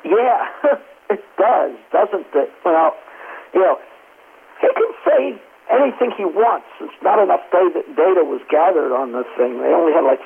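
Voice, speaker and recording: A man with a gravelly, husky voice chats over a two-way radio. He pauses and hesitates constantly, filling his talk with ums and uhs. The sound comes through thin and narrow, like a radio transmission. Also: on the high side. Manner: hesitant, many fillers. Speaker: amateur radio operator. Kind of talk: casual radio chat.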